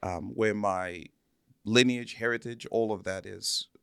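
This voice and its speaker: A man's voice: fairly low pitch, fairly even intonation, unhurried, creaky, a bit bright, clear, resonant tone, dry recording, studio quality. A man from Zambia telling personal stories as an interview guest, speaking earnestly and repeating words for emphasis. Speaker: interview guest, church minister. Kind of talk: conversational interview, personal storytelling.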